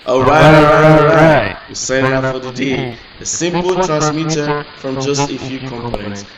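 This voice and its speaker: A man's voice: mid-range voice, moderate pace, a loud crowd. A man hosting a casual hands-on electronics tutorial, explaining step by step with frequent restarts and fillers. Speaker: electronics channel host. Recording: echoey room, mic at a distance, noisy.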